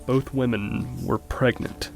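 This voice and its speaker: A man playing a dutiful, deferential junior police officer, reporting in a measured way in a horror audio drama. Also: mid-range voice, steady tone, creaky, soft background music. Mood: grave.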